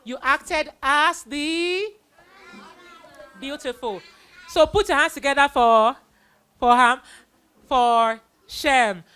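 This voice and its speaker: A woman with a Nigerian accent speaks to children as an event host. Her voice is high and bright, with a forceful edge. She tells stories in an exclamatory way, full of quoted dialogue. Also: slow pace, pauses often. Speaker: children's Bible story host. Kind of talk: addressing children at a live event.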